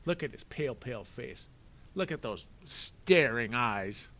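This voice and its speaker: A man voicing a sneering, scheming villain who talks with mock politeness and drawn-out, theatrical menace. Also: mid-range voice, lively intonation, sharp tone.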